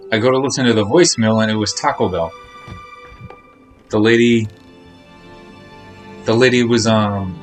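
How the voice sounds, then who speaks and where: A man live-streaming a game, rambling casually to his viewers in a chatty way. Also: lowish voice.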